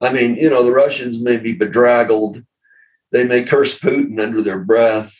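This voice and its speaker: A man's voice: mid-range voice, steady tone, normal speed, darkish tone, distant mic, rough recording. A man in conversation as a guest commentator, speaking with frequent fillers and restarts. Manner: hesitant, many fillers and restarts. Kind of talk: interview discussion.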